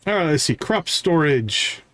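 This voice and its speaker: Unhurried man streaming a game. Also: fairly high pitch, big pitch swings, fairly bright tone, no echo. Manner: talks himself through tasks step by step. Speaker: live game streamer, host. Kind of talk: casual live gameplay commentary, thinking aloud.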